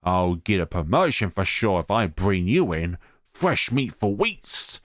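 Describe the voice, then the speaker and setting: A man's voice: deep voice, big pitch swings, a little slow, no room sound, studio quality. A man voicing a bloodthirsty, gloating rabbit guard from a horror visual novel. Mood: gloating menace. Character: menacing, bloodthirsty male rabbit guard with spear. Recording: music behind the voice.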